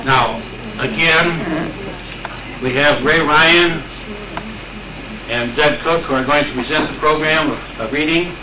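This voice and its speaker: A man's voice: a little high, takes it slowly, long pauses. A man chairing a meeting, speaking politely in formal procedural language. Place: meeting room in a library. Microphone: distant room microphone. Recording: very noisy echoey room, distant microphone.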